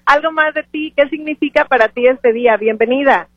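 Locutora efusiva de voz aguda, sonido telefónico. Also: female voice, high-pitched, a little fast, no echo. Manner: effusive, encouraging. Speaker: radio host interviewing at an event. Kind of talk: live radio interview. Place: live remote broadcast from a conference. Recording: phone-like radio broadcast sound.

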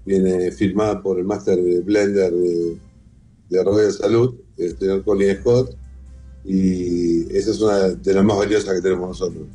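A middle-aged Argentine man speaks in a very dark, rough and husky voice, on the low side. He answers interview questions conversationally, with music playing behind him. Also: fairly even intonation, a little slow. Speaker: interview guest, whisky museum founder and collector.

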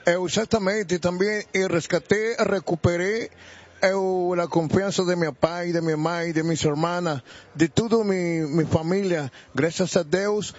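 A native Spanish-speaking man with a Bolivian accent giving religious testimony haltingly, mixing in Spanish words. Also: high voice, steady tone, highs cut off. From Bolivia, Santa Cruz de la Sierra. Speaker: church member giving testimony. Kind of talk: religious testimony in a live interview.

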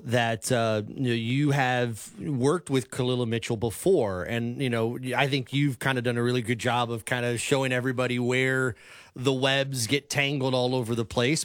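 A man hosting a sports radio show, asking questions with many fillers and halting restarts. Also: mid-range voice, dry recording, studio quality. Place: radio studio.

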